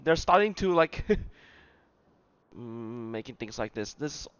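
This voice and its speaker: A man's voice: mid-range voice, animated, slow, clear, resonant tone, no room sound. A man giving casual live gameplay commentary, often searching for words and repeating himself. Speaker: game streamer. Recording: slightly dull, lo-fi microphone. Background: game music.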